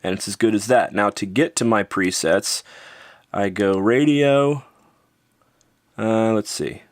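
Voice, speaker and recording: A man with a North American accent talks through a gadget review in a fairly low voice. His delivery is casual and offhand, with a wry, informal touch. The sound is dry, with very little room in it. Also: slow, no echo. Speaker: product reviewer.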